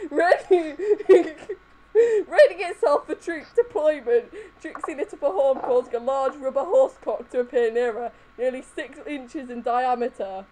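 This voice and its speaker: High-voiced woman reading a comic story. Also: lively intonation, slow, darkish tone, a little hiss or hum, low-quality recording. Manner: giggly, often breaking into laughter. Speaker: reader. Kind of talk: comedic dramatic reading of fan fiction.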